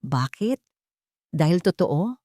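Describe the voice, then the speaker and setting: A woman's voice: low voice, very animated, slow, dark tone, dry recording, full character voice. Cold, nagging millionaire's wife for a narrated drama, played by a female storyteller; taunting, irritated, code-switching, dry recording. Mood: bitter taunting. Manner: irritated, goading, mixes English into Tagalog.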